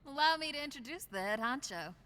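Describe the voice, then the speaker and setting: Wry operations manager in a game, businesslike, higher lively voice, long reverb and music behind. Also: female voice, quick pace. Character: brisk, dry female operations manager of ghost hunters.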